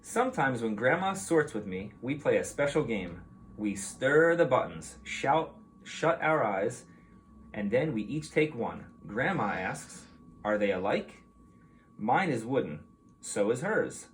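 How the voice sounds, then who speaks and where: A man reading a picture book aloud to young children like a teacher, in an expressive, explanatory way. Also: medium pitch, unhurried, a little hiss or hum.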